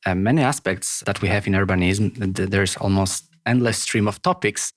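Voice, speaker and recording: A man with a Polish accent, hosting a podcast interview in a thoughtful, halting way. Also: fairly low pitch, no room sound, studio quality. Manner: thoughtful, halting, with repeated words. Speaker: podcast host. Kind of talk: casual interview conversation.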